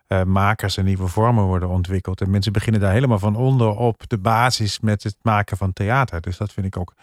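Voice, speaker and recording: A man's voice: fairly low pitch, no echo, studio quality. A man chatting casually as a panelist on a podcast, talking as an artist. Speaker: artist, theatre maker.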